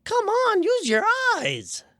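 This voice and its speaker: High-pitched shady little rat. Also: male voice, wildly swooping pitch, slow, a bit bright, dry recording, clean studio sound, exaggerated voice. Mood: insistent, cocksure. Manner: folksy, wheedling, insistent. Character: shady, chatty rat con artist, cocksure schemer. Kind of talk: scripted radio comedy.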